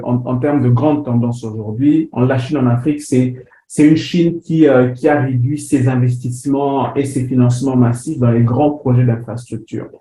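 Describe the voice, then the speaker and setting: A man's voice: mid-range voice, mellow. A man from DR Congo presenting a webinar lecture, with frequent fillers and restarts. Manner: hesitant, many fillers and restarts. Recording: roomy sound with faint background noise.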